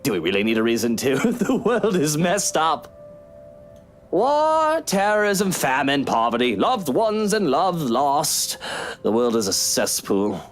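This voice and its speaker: An actor playing a very drunk, maudlin husband in a visual novel, slurring and rambling melodramatically. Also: male voice, a little high, sing-song, unhurried, soft background music. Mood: bitter, giggly. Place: studio game voice track with music behind.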